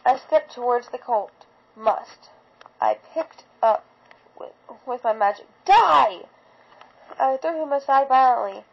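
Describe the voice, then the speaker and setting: A woman's voice: fairly high pitch, measured pace, muffled recording. A woman reading a first-person fan fiction story aloud with dramatic narration.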